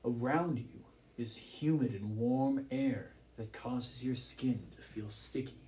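A man's voice: medium pitch, slow, dark tone, a little hiss or hum, low-quality recording. A man acting as game master, narrating a tabletop game with descriptive scene-setting.

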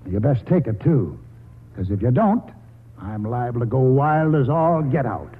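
A man's voice: medium pitch, expressive, a little slow. An older American man acting a stubborn, wily country grandfather in a radio drama, with folksy, rambling speech. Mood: stubborn, bargaining. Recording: muffled, husky-sounding vintage radio broadcast.

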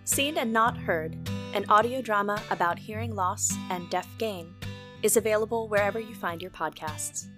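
Female trailer voice, medium pitch, scripted podcast promo read with music clearly underneath. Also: normal speed. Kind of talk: scripted audio drama promo. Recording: clear guitar music behind the voice.

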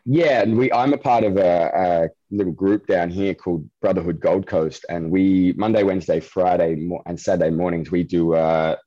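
A man's voice: low-pitched, fairly even intonation, normal speed, hardly any pauses, dark tone, dry recording, dull audio. A man with an Australian accent, a podcast guest and men's mentor, talking in an easygoing, laid-back way. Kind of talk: casual interview chat.

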